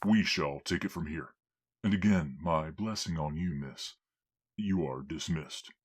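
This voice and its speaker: A man playing a formal lord in an audio drama, speaking in a measured, authoritative way. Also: rumbling low, husky, full character voice. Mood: commanding.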